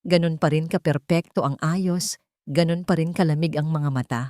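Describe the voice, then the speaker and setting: A woman's voice: low voice, fairly even intonation, normal speed, a little mellow, no room sound, clean studio sound. A woman with a Filipino accent narrating a dramatic scripted story as a storyteller.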